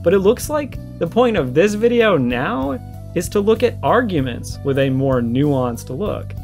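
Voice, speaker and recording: A man's voice: high voice, very animated, a little slow. A man giving conversational response commentary, arguing emphatically and repeating his points for stress. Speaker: video creator and host. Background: music with plucked guitar.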